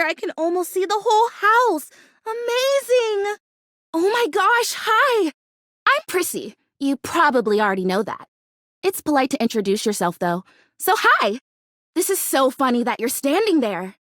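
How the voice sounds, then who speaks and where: A young, female houseplant character from a video game, pitched very high and swinging widely in tone. She is bubbly and chatty, rambles in a gush and is easily stung. The sound is dry and close. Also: a bit bright, dry recording. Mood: gushing, amazed. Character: perky, chatty young female plastic houseplant who longs to be real.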